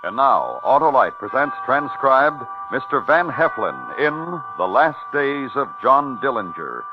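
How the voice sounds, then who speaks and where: A man's voice: mid-range voice, sing-song, unhurried. A man introducing a 1950s radio thriller as its announcer, with grave, theatrical phrasing. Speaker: show announcer. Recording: dull old radio recording. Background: dramatic music.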